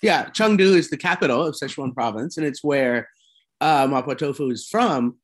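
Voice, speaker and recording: A man's voice: mid-range voice, normal speed. A man interviewing a guest, chatting easily and asking curious follow-up questions. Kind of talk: conversational interview.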